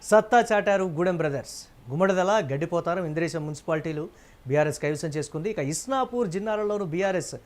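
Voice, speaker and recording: A man's voice: a little high, fast. A man presenting live news and putting questions as an anchor.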